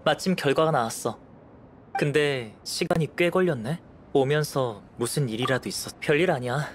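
An actor playing a pensive young alchemist scholar in an anime game, measured and soft-spoken. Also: male voice, on the high side, a little hiss or hum, natural voice. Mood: composed, explanatory. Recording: clean studio voice over background music.

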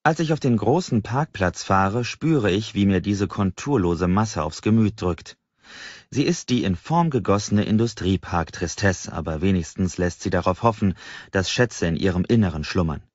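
Deep-voiced male audiobook narrator. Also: expressive, moderate pace, darkish tone, no echo, lo-fi sound. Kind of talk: scripted first-person novel narration.

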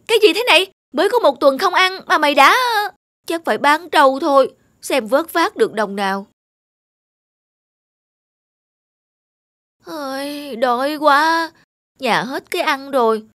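A woman voicing a lazy, self-pitying young peasant man in an animated folk tale, whining and complaining. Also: high-pitched, no room sound, studio quality. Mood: fretful. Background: background music.

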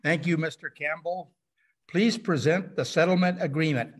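A man's voice: on the high side, moderate pace. A man speaking as a mayor chairing a public hearing on a video call, courteous and procedural. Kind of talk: formal meeting chairing.

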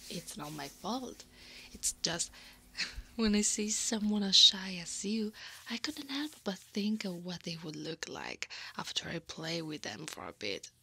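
Soft whispering centaur woman, acted by a woman, teasing. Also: on the low side, big pitch swings, a little slow, dry recording, heavily acted. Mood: playful, teasing. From Italy. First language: Italian. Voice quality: breathy, often whispering. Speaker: ASMR roleplay performer. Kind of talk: ASMR roleplay.

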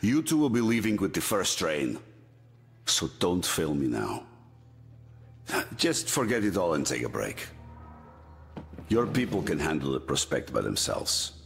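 A man voicing a weary, hard-bitten general in a video game, gruff and authoritative. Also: low-pitched, huge pitch swings, a little slow, long pauses, a bit bright, long reverb tail, heavily acted. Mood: stern, pressing. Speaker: general in a military command. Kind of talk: dramatic video game dialogue.

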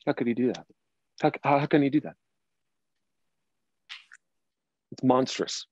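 A man's voice: medium pitch, lively intonation, quick pace, a little mellow, breathy edge. A man acting a distraught, wounded and indignant character in his late thirties in a dramatic script reading. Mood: horrified, angry. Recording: echoey room, slightly dull sound.

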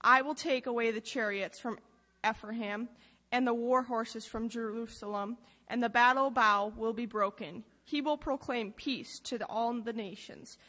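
Bright young woman reading in a reverberant hall. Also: on the high side, steady tone, measured pace. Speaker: scripture reader. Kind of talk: reading scripture aloud in worship. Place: church lectern.

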